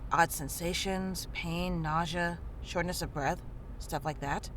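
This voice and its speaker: A woman's voice: on the low side, steady tone, takes it slowly, fairly bright tone, a little hiss or hum, rough recording. A woman playing a casual, matter-of-fact, caring medic in a visual novel game. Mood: attentive. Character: frank, caring female medic in a grim world. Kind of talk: visual novel character dialogue.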